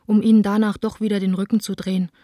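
Radio drama heroine, played by a woman: young adult, mid-pitched mellow voice, intimate and earnest, dry studio. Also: level tone, quick pace, dry recording, natural voice. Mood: wistful. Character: lovelorn, reproachful young woman, theatre director. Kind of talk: radio play letter reading.

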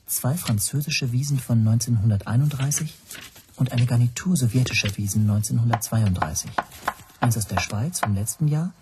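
An actor narrating a radio drama in the first person as an anxious language teacher, hushed and tense. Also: male voice, mid-range voice, normal speed, background noise, natural voice. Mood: wary. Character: anxious male language teacher recounting his spy troubles.

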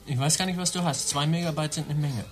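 An actor playing an eager know-it-all regular who keeps chiming in, in a radio play. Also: male voice, fairly high pitch, quick pace, soft background music, natural voice.

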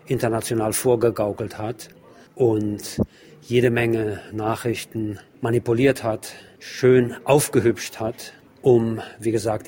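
A man with a fairly low voice narrates an exhibition report as a presenter. He explains things in a measured manner, choosing and placing his phrases deliberately. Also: darkish tone.

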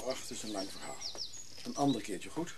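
A man playing a gruff, blunt former drinker who knows antique clocks, in a naturalistic radio drama. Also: on the low side, big pitch swings, fairly quick, noisy background. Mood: guarded, curious. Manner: grumpy, blunt.

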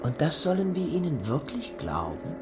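An actor playing a menacing, coldly polite Gestapo colonel in a radio play, questioning in a clipped, officious manner with diction. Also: male voice, fairly high pitch, big pitch swings, normal speed. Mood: pressing, insinuating. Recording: radio play with music underneath.

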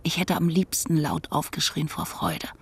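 Husky, reflective storyteller. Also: female voice, low voice, steady tone, moderate pace, full character voice. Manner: literary, reflective. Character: reflective grown woman recounting her medieval childhood. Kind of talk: scripted first-person radio play narration.

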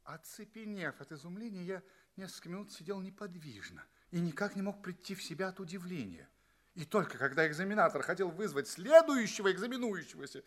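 An actor playing a courteous space traveller from Earth, narrating his tale expressively and deliberately in an audio play. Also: high voice, bright, forceful tone, heavily acted. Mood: stunned, offended. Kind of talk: theatrical first-person audio play narration.

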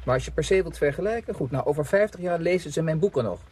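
An actor playing a proud, irritable writer with a curt, scornful manner in a radio play. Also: male voice, a little high, animated, fast talker, darkish tone, breathy edge. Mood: irritated. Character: proud, irritable male writer, bitterly frank with his woman friend.

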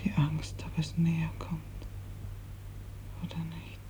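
An actress playing a gravely ill, bedridden woman in a 1950s radio drama, fearful and imploring, her weak, halting voice often sinking to a whisper. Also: sits low, completely flat delivery, dark tone, a little hiss or hum. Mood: anguished, accusing. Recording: muffled 1950s radio recording.

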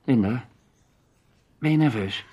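An actor in a radio drama playing a shrewd, protective adventurer who speaks emphatically and deliberately, with a husky voice. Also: male voice, mid-range voice, warm tone, muffled recording. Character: shrewd, protective male adventurer, awkward with women.

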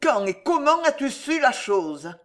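A reader voicing an imperious, vengeful queen in an audiobook, speaking higher and louder in anger. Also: very animated, unhurried, heavily acted. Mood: cold. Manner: raises pitch and volume, snapping and roaring in anger. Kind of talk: audiobook character reading.

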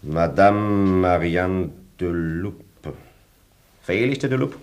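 An actor playing a stiffly polite, officious German army officer in a radio play, speaking formally and pedantically. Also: male voice, deep voice, expressive, slow, heavily acted. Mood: brisk official.

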